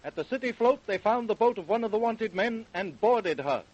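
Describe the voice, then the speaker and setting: Male narrator, high voice, scripted old-time radio crime story, dull vintage recording. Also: lively intonation, fairly quick.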